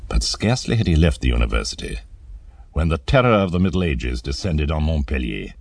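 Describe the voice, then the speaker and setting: A man narrating a scripted dramatised documentary in a measured, theatrical manner, posing rhetorical questions. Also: very deep voice, very animated, gravelly, a little mellow.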